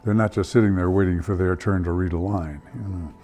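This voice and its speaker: An older man speaking reflectively in an interview, with measured, articulate and deliberate phrasing. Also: low voice, fairly even intonation, mellow. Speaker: theatre founder and director.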